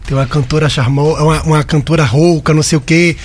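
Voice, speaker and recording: Chatty higher-voiced male host. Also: steady tone, quick pace, slightly rough. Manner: chatty, anecdotal, casual. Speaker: talk show host. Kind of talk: hosting radio health talk.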